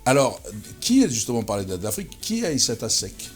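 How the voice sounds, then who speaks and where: A man's voice: medium pitch, wildly swooping pitch, fairly quick, over loud music. A man hosting a talk show interview, chatting casually and often cutting in to finish his guest's sentences.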